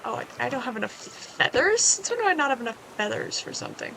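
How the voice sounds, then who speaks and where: A woman with a slightly hoarse voice giving casual, chatty commentary while she plays a survival game, making wry asides to herself. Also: a little high, big pitch swings, fast talker, pushed and bright, slight background noise. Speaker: gaming video creator.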